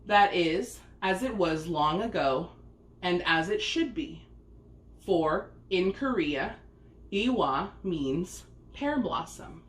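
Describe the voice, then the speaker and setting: A woman reading a picture book aloud as a story time host, expressive and speaking to young listeners. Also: fairly low pitch, slow pace. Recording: echoey room with faint background noise.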